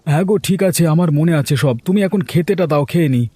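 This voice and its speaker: A man voicing a brisk, impatient village husband in a cartoon, speaking brusquely. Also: a little high, dark tone, husky, no echo. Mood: hurried.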